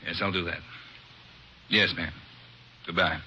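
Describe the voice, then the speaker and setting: A man's voice: sits low, fairly even intonation, unhurried, sharp tone, a little hiss or hum, full character voice. A man playing a brusque, commanding chief of detectives in an old radio drama. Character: gruff male chief of detectives. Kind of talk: 1950s radio drama acting.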